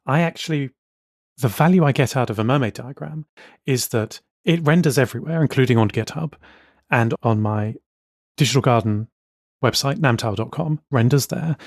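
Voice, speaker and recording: A man co-hosting a casual podcast, talking with enthusiasm and quick asides. His voice sits at a middling pitch and is recorded very dry, with no room sound. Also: animated, dry recording. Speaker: podcast co-host. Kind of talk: casual chat.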